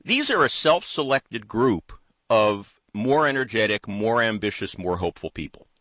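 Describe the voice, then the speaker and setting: A man in casual political chat, thoughtful and animated, talking in long winding runs. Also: on the low side, normal speed, dry recording, clean studio sound. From United States.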